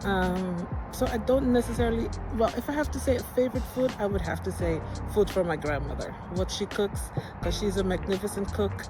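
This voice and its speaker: A woman's voice: mid-range voice, a little fast, music in the background, rough recording. A woman from Suriname whose first language is Dutch, chatting casually and expressively in a personal vlog. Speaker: life coach presenting a personal vlog. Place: outdoors by a field.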